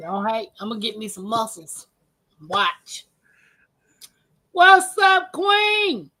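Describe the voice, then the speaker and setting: A woman's voice: fairly high pitch, big pitch swings, slow pace, bright, forceful tone. A woman, hosting a casual livestream chat and teasing her co-host with frequent laughter. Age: 40s.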